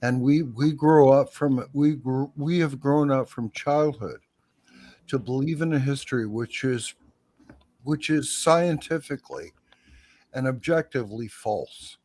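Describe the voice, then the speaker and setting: A man's voice: mid-range voice, a little slow, a little mellow. A man hosting a livestream, skeptically challenging his guest and often repeating his questions. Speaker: livestream host. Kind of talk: heated casual debate.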